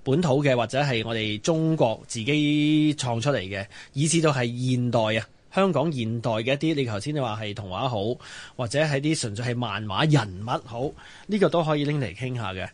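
A man's voice: medium pitch, a little fast. A man with a Hong Kong Cantonese accent hosting and moderating a casual radio talk show.

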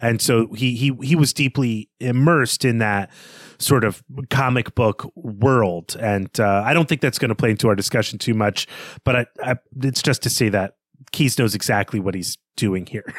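A man's voice: mid-range voice, moderate pace, no room sound. Man, podcast co-host, literary discussion, thoughtful emphatic phrasing, dry close-miked recording. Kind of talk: literary discussion, conversational analysis.

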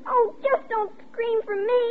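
Tinny noisy old radio, a very high-voiced anxious little girl, pleading and urgent. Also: steady tone, unhurried. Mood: tearful, desperate. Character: anxious, insistent young girl pleading with her father. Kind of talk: 1940s radio acting.

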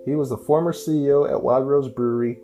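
A man's voice: mid-range voice, steady tone, fast talker, dark tone, soft background music. Upbeat man hosting a podcast. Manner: upbeat, promotional delivery. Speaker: podcast host. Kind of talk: casual podcast intro and interview.

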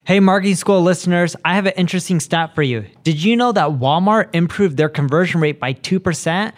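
A man reading a sponsor spot for a podcast in an upbeat, pitching way. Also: a little fast. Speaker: sponsor ad reader.

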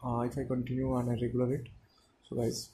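A young man from India with an Indian accent and Hindi as his first language, speaking in halting, non-fluent English full of fillers in a personal monologue to his listeners. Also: mid-range voice, monotone, dark tone, slight background noise. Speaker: audio diary speaker.

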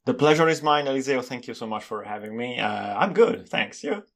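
A man with an Italian accent, a native Italian speaker, answering interview questions in a calm, thoughtful way as he searches for words. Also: mid-range voice, a little fast. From Italy. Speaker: guest, polyglot and language consultant. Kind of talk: casual interview conversation.

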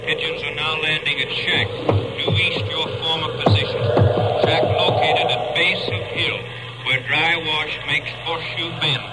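An actor in a 1950s radio drama playing a clipped, businesslike police pilot reporting over a two-way radio. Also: male voice, fairly high pitch, fairly even intonation, measured pace, loud music behind the voice, poor audio. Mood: terse, calm. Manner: terse, procedural. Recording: old radio broadcast, loud drone behind.

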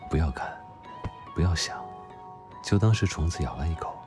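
A man's voice: rumbling low, animated, moderate pace, a little mellow, soft background music. A man acting a curt, exasperated but secretly tender doctor in an audio drama, terse and dry. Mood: gently soothing.

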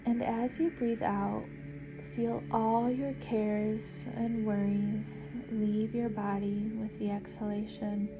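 Female meditation guide, slow and measured, soothing instructional read with little pitch movement. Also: flat delivery, music in the background, poor audio. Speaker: yoga nidra meditation guide and podcast host.

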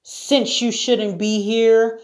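Woman voicing a bad-tempered, bossy storybook lion, slow and commanding. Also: fairly high pitch, flat delivery, voice pushed up. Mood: menacing, jeering. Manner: roaring, snapping, jeering. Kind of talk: children's storybook character voice.

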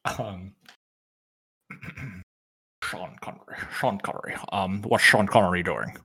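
A man's voice: deep voice, takes it slowly, bright, forceful tone, husky, low-quality recording. A young man co-hosting a podcast, easygoing and chatty. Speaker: podcast co-host. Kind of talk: casual podcast chat.

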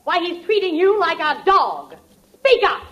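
Shrill, brassy, bullying girlfriend. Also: big pitch swings, measured pace, dull audio, exaggerated voice. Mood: bullying, threatening. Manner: brassy, mocking, threatening. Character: brash, bullying, starstruck Brooklyn girlfriend, loud woman. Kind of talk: 1940s radio comedy acting. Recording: noisy old radio broadcast, studio audience.

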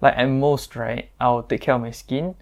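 A young man with a Singaporean English accent and a naturally deep voice, chatting casually as a guest, pausing often with fillers and restarts. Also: fairly even intonation. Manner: hesitant, many fillers and restarts. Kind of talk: casual chat.

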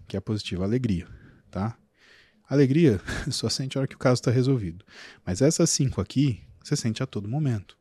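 A man with a Brazilian Portuguese accent, a physician talking on a podcast in an animated, emphatic storytelling way. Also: on the low side, no echo. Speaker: physician guest. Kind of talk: casual podcast interview.

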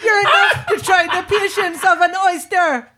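A reader voicing a cheeky young crab daughter with a Jamaican accent, snappish and loud. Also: very high-pitched, big pitch swings, normal speed, very noisy, pitched above the performer's own voice.

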